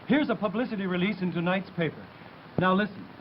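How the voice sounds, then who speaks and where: A man's voice: sits high, fast, lightly acted. An actor playing an earnest, exasperated man explaining himself in a period TV drama. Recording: dull, faintly noisy TV soundtrack.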